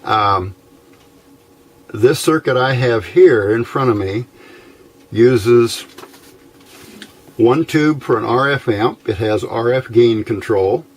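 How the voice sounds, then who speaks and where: An older American man giving an informal technical explanation, unhurried and folksy, pausing often between short phrases. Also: medium pitch, breathy edge. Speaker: hobbyist radio builder, presenter.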